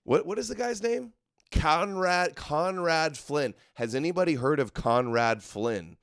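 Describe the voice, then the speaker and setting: A man's voice: fairly high pitch, lively intonation, unhurried, vocal fry, a bit bright, clean tone, dry recording, clean studio sound. A male host giving sarcastic, scoffing commentary over video clips. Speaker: show host.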